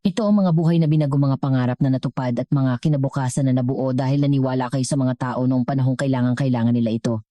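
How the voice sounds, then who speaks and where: A woman narrator plays an affectionate adult daughter in a storybook tale. She speaks with tender, earnest gratitude in a low, mellow voice, close and dry. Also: fairly even intonation, quick pace, dry recording. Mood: heartfelt pride. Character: affectionate, grateful adult daughter giving heartfelt praise. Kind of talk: scripted storybook dialogue.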